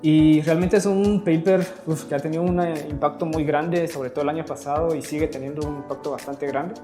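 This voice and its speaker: A man from Guatemala, a guest astrophysicist, explaining research in a relaxed way, often ending with tag questions and correcting himself. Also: fairly high pitch, brisk, echoey room, over music. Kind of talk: conversational explanation.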